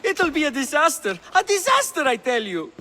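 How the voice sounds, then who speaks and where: An actor playing a self-important, fretful Greek playwright in a video game, with a theatrical, fussy delivery. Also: male voice, very high voice, sing-song, moderate pace, pushed and bright, long reverb tail, soft background music, full character voice. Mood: fretting, alarmed.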